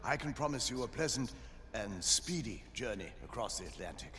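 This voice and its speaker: An actor playing a haughty, suave Lord Chancellor, pompous and formal, in a dramatic game cutscene. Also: male voice, mid-range voice, lively intonation, normal speed, fairly bright tone, a little hiss or hum, close to an everyday voice. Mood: proud. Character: imperious old-world Lord Chancellor, suave but haughty.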